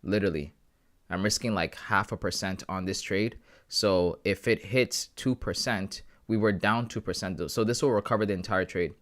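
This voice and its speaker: A man's voice: on the low side, moderate pace. A man in his thirties chatting casually with viewers on a live trading stream, slangy and laughing often. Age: 30s. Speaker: livestreaming forex trader.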